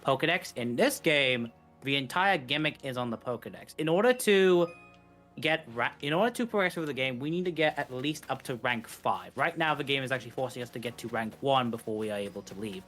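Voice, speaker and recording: A man's voice: mid-range voice, lively intonation, brisk, fairly bright tone, soft background music. A man giving casual, explanatory co-commentary on a live speedrun, paraphrasing things informally.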